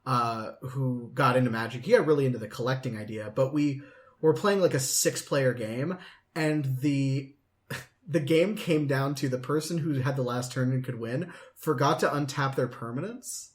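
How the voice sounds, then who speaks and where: A man's voice: medium pitch, moderate pace. A man in his late twenties chatting casually as a podcast host, telling rambling anecdotes. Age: late 20s.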